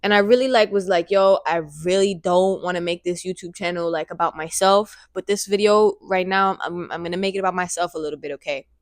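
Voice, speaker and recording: A woman in her twenties talking straight to camera in a casual, rambling vlog, excited and repeating her phrases, speaking into a handheld microphone. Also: on the low side, brisk, hardly any pauses, dry recording, studio quality. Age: 20s. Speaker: vlogger.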